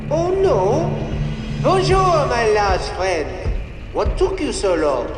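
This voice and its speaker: A smug French cartoon villain, pitched high and sweeping up and down. He drawls his mockery pompously in an echoing hall, over music. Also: wildly swooping pitch, full character voice. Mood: gloating. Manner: pompous, mocking, drawn-out delivery. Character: smug, theatrical French skunk villain, pompous art thief. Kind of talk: animated video game cutscene acting.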